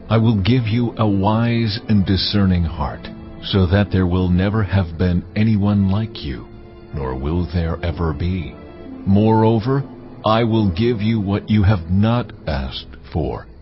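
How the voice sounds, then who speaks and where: A deep, majestic voice of God, very slow and solemn in a biblical audio drama. He is benevolent and authoritative. Music swells clearly behind him. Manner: measured, authoritative. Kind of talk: dramatised audio Bible acting. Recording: dull audio under prominent music.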